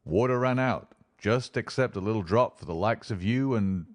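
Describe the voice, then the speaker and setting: Narrator voicing a worn-out frontiersman, low rough drawl, soft and patient. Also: dry recording, studio quality, natural voice. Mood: gentle. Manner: rough frontier dialect, tender and slow. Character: weathered frontier man, gentle and resigned.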